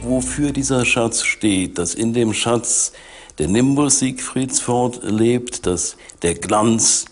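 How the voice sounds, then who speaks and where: Male expert interviewee, lowish voice, deliberate and emphatic explainer. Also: a little mellow. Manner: emphatic, deliberate, repeats phrases for weight. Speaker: expert commentator.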